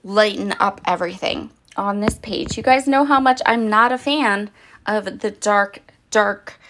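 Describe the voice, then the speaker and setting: A woman's voice: mid-range voice, unhurried, a bit bright, slight background noise. A middle-aged woman with a slightly froggy voice, chatting casually and laughing at herself while narrating her scrapbooking process. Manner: chatty, self-deprecating, laughs often. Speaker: scrapbooking channel host. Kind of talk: crafting process voice-over.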